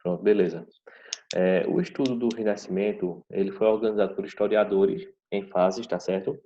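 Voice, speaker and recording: Low, mellow-voiced teacher. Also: male voice, steady tone, a little hiss or hum. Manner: explains slowly, tags phrases with "tá certo?". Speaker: history teacher. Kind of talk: online class lecture. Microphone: video call. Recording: dull video call audio.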